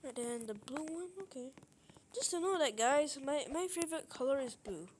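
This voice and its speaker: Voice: high voice, animated, normal speed, a little hiss or hum. A gamer from Malaysia, giving casual, rambling, playful game commentary. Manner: rambling, playful, repeats words. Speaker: gaming video host.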